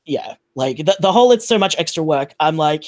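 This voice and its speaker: A woman with a fairly low voice talks on a podcast panel. She is frank and informal, speaking off the cuff in a conversational style. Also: lively intonation, brisk, darkish tone. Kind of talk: casual panel discussion.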